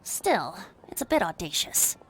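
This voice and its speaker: A woman's voice: fairly low pitch, very animated, measured pace, a bit bright, breathy edge, heavily acted, poor audio. A woman voicing a youthful, playful boy bard with florid, theatrical phrasing in a video game. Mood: playfully theatrical. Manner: florid, poetic, mock-grand phrasing. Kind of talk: video game character acting.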